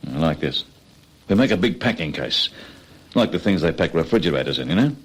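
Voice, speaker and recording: An actor playing a cocky, impatient Australian criminal ringleader in an old radio crime drama, talking colloquially and persuasively. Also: male voice, sits low, sing-song, fairly quick, breathy edge, full character voice. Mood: insistent, scheming.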